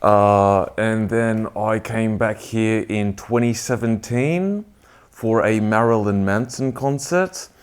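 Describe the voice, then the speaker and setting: A man, relaxed and a little halting in an interview. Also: on the low side, smooth. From Australia. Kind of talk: casual interview answers.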